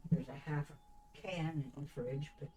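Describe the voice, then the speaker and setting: A woman talking casually and gently in the house, away from the microphone. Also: low voice, steady tone, measured pace, husky. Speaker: person in the streamer's home.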